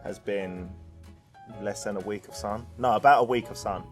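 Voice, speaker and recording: A man's voice: fairly low pitch, normal speed, soft background music. A man in casual banter with a friend, jokey and self-mocking, often laughing. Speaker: friend of the host.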